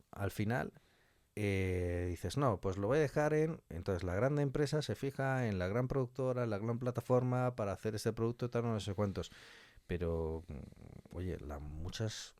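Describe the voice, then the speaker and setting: A man's voice: on the low side, expressive, moderate pace, darkish tone, no room sound. A man from talking casually and colloquially as an interview guest, giving his opinions.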